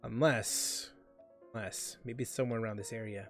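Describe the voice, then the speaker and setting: A man's voice: fairly low pitch, steady tone, normal speed, over music. A man giving casual gameplay commentary, thinking aloud and often repeating himself. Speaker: game streamer.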